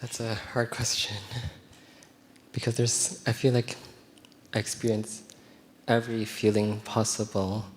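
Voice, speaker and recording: Slow, thoughtful low-voiced man. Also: monotone, slight background noise. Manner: thoughtful, measured, sincere. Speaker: dancer. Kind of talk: post-show talkback. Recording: reverberant theatre hall.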